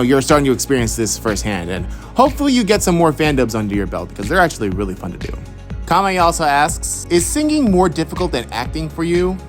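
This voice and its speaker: A young man from Houston answering follower questions about voice acting in a loose, rambling chat, full of fillers and restarts. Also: medium pitch. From Houston, Texas. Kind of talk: casual Q&A monologue.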